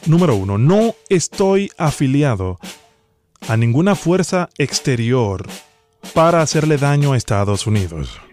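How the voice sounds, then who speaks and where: A man on a prank phone call playing a stern, bureaucratic immigration official, speaking formally and gravely. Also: mid-range voice, big pitch swings, unhurried, a little mellow, soft background music. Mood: formal, instructing. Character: stern male immigration official reading a deportation order. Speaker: prank caller.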